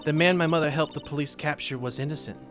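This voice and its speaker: Game dub: soft-spoken orphaned girl medium in early adulthood played by a man, raised pitch, earnest, sad, over prominent music. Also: fast, close to an everyday voice. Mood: somber. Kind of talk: amateur video game voice-over.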